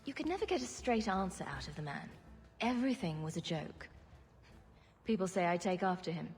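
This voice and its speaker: An actress playing a witty, sarcastic rogue adventurer in a fantasy game, with a dry, wry delivery. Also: female voice, fairly low pitch, animated, brisk, a little mellow, faint music behind.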